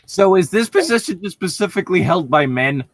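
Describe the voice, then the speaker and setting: A man's voice: sits high, lively intonation, brisk, dry recording, clean studio sound. A man reading a story aloud as a co-host, breaking off for sarcastic, irritable, profane commentary and casual banter. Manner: sardonic, irritable, profane heckling.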